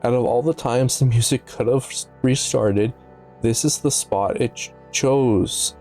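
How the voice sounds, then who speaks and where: A man's voice: medium pitch, unhurried, warm tone. A man giving casual, analytical video commentary in a musing, slightly stumbling way, with offhand asides. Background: background music.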